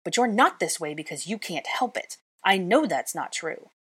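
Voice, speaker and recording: A woman voicing an indignant, clever young witch who breaks into shrill, exasperated outbursts. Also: very animated, normal speed, husky. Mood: furious. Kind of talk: audiobook character voice.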